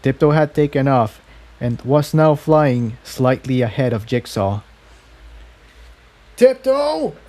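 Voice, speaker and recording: Male story reader with a mellow, relaxed tone, reading scripted prose with small stumbles. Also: medium pitch, measured pace. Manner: stumbles and repeats phrases while reading. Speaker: fan fiction reader.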